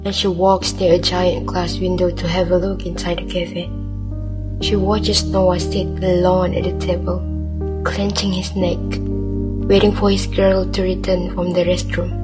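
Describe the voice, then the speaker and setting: A woman narrating a scripted radio drama in a deliberate storytelling manner. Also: lowish voice. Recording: music and crowd noise behind. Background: clear music and crowd ambience.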